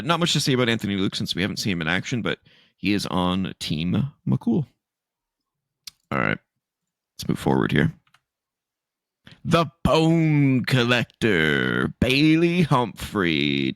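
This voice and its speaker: A man co-hosting a casual podcast, stuffy and sniffly from allergies, loose and laughing, swooping high for emphasis. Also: vocal fry, fairly bright tone, no echo, clean studio sound. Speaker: podcast co-host. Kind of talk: casual chat.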